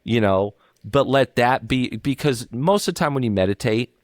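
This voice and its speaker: A man's voice: medium pitch, expressive, moderate pace, no room sound, studio quality. A young adult man co-hosting a podcast, rambling in casual chat with plenty of fillers and offhand swearing. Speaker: podcast co-host.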